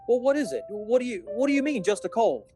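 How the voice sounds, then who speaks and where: A man's voice: very high-pitched, big pitch swings, quick pace, warm tone, soft background music. Guy doing stressed out stammering dad in an old radio play, short fuse, desperate. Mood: irritated, angry. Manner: agitated, stammering. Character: distraught, short-tempered family man at the end of his rope. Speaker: amateur local actor. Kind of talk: old-time radio drama acting.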